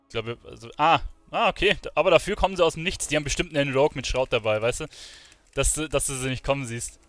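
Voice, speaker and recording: Male streamer, casual play-by-play, medium pitch, game music in the background. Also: quick pace, a bit bright, no echo. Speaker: gaming streamer. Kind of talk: casual gameplay commentary. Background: game music and sounds.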